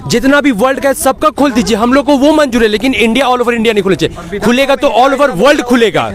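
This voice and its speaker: A man's voice: very high voice, fast, crowd noise. A man with a Jharkhand accent arguing as a protester in a street interview, challenging and combative.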